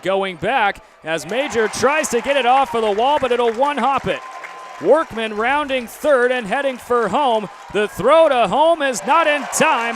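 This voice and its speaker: A young American man doing live sports play-by-play commentary. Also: extremely high pitch. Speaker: play-by-play announcer. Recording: outdoor ambient noise behind commentary. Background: ballpark or arena ambience.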